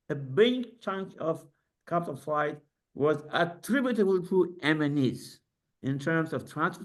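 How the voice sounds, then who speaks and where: Man, fairly high voice, panelist, halting speech, frequent fillers, video call. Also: a bit bright. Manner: halting, many fillers and restarts. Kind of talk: academic webinar remarks.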